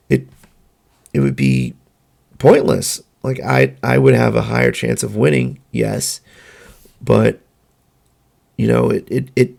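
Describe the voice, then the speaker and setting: Gravelly-voiced man hosting a podcast. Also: medium pitch, darkish tone. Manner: earnest, measured, halting with fillers. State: hoarse, losing his voice. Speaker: podcast host. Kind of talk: solo opinion monologue.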